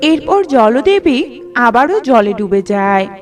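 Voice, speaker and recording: A woman's voice: on the high side, sing-song, takes it slowly. A woman narrating a scripted storybook tale for a cartoon. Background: music.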